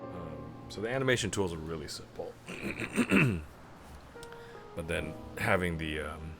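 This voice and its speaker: Low-voiced, slow-talking streamer man. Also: animated. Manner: rambling, chatty, clears throat often. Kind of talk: live let's play commentary. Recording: game music clearly audible underneath. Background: game music.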